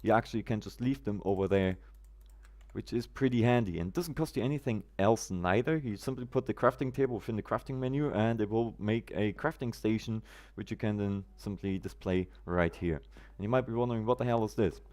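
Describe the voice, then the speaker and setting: A man's voice: on the low side, brisk, a little mellow. A man from Germany, chatting casually on a gameplay live stream, greeting viewers by name in quirky, roundabout phrasing.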